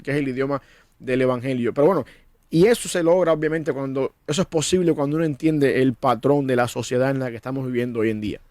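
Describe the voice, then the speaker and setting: A man's voice: medium pitch, a little mellow, no echo. A man from Cuba, a pastor, talking earnestly in a discussion with a preacher's cadence and frequent restarts. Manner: earnest, preacherly, repeats words when starting. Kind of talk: conversational discussion.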